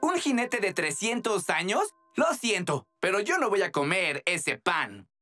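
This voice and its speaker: A timid, excitable cartoon rescue robot. The voice is young and very lively in pitch, over loud background music. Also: male voice, measured pace, full character voice. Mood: wary. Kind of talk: animated cartoon dub.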